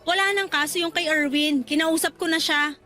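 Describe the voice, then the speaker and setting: A woman's voice: sits high, fairly even intonation, moderate pace, bright, forceful tone, soft background music. A woman acting an emotive, principled young Filipina in a radio drama, testy but easily delighted. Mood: indignant.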